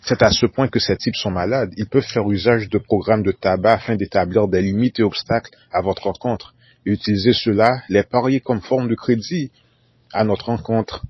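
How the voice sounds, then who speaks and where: A man with a low, husky voice gives a formal solo monologue, often repeating whole sentences and spelling words out letter by letter. The recording is dull and lo-fi, with a dry sound. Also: fairly even intonation, moderate pace, dry recording. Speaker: conspiracy talk narrator.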